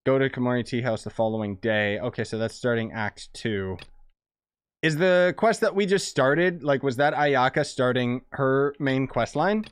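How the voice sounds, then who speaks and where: A young man casually commenting on a game during a live stream, thinking aloud in a relaxed, chatty way. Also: mid-range voice, moderate pace, clear, resonant tone, dry recording, clean studio sound.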